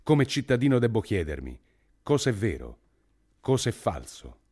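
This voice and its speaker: A man playing an earnest writer who gives a formal public speech in a measured, deliberate, rhetorical way. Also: fairly low pitch, sing-song, darkish tone. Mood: solemn, earnest. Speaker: actor reading a writer's speech. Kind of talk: declamatory dramatic monologue, formal speech.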